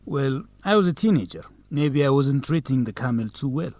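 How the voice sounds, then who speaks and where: A man's voice: mid-range voice, animated, normal speed, a little mellow, no room sound, natural voice. Charming young man played by an actor, dry sound. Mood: playful. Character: Zegai, charming young Ethiopian man courting on a date. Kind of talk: radio drama acting.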